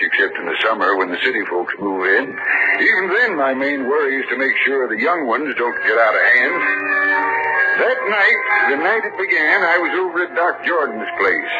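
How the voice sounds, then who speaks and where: An actor playing a steady, plainspoken small-town coastal sheriff in 1940s radio drama style. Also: male voice, medium pitch, close to an everyday voice, rough recording. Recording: old radio recording with loud music.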